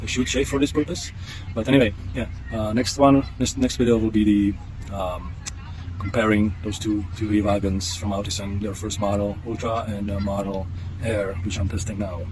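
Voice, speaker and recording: Czech guy vlogging outside, lowish voice, noisy outdoor audio, lots of uhs. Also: flat delivery, a little mellow. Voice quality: halting, many fillers and restarts. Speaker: product tester. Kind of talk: casual vlog narration. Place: outdoors on trails and beach. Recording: outdoor noise.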